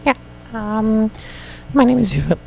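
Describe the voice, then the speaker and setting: A woman from Syria, a radio guest who speaks hesitantly with many pauses. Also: a little high, animated, creaky, darkish tone, rough recording. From Aleppo, Syria. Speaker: activist and guest. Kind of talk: radio interview. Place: radio studio.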